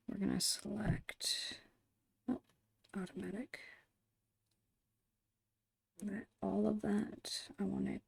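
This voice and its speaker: Breathy, whispering woman with heavy creak. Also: mid-range voice, no room sound. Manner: soft, unhurried murmuring, thinking aloud. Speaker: ASMR digital art streamer. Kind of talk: ASMR, casual step-by-step painting commentary. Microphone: close microphone, dry.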